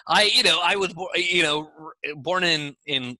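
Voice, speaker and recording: A man in his forties makes his points with insistence, his voice very bright and edged. He speaks through a headset on a video call, and the sound is close and dry. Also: on the high side, normal speed, no room sound, poor audio. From born in Israel, raised in Los Angeles. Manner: emphatic, insistent, talks with his hands. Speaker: guest, author and creativity expert. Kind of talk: animated interview conversation. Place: home room with bookshelves.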